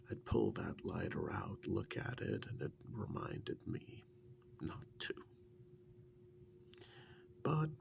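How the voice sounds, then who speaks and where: A man playing an easygoing, wryly fond long-term partner in an ASMR role-play, speaking softly and breathily in a halting, musing way. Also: lowish voice, full character voice. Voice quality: soft, breathy, gravelly, half-whispered. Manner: halting, unhurried, musing with many pauses.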